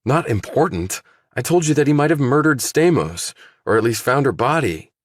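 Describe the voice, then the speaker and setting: A man's voice: medium pitch, big pitch swings, normal speed, no room sound, clean studio sound, pitched above the performer's own voice. A man voicing a guarded, probing ex-soldier turned investigator, terse and questioning, in an audiobook. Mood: suspicious, insistent. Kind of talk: audiobook character dialogue.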